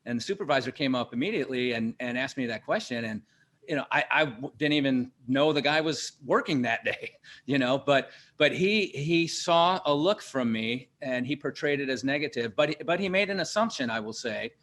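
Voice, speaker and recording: A man hosting an interview and teaching leadership, talking conversationally in a halting way, with restarts while he tells personal stories. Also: medium pitch, lively intonation, fairly bright tone.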